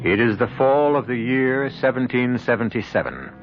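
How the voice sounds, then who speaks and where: An actor as a sly, teasing storyteller host, narrating a radio drama theatrically. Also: male voice, mid-range voice, expressive, normal speed, natural voice. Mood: wry, suspenseful. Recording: dull 1970s radio recording with music.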